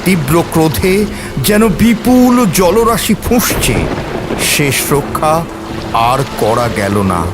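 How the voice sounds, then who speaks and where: A man's voice: on the high side, big pitch swings, slow. A man narrating a scripted story in a theatrical, suspenseful way, with music and storm ambience behind.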